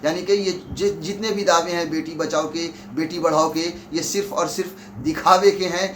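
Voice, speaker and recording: A man's voice: a little high, fairly even intonation, moderate pace, a bit bright. A man presenting opinionated news commentary, addressing viewers directly in an accusatory, rhetorical way. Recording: echoey room, clear background noise.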